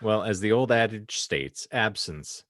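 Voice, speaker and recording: Wry, low-voiced male podcast host. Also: lively intonation, unhurried, a bit bright, no room sound, clean studio sound. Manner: wordy, mock-formal, wry. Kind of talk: casual comedic banter.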